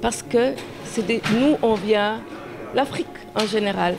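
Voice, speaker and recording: A woman speaking in an interview, haltingly and often repeating words. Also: on the high side. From Senegal. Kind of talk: spoken interview. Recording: music playing behind the voice.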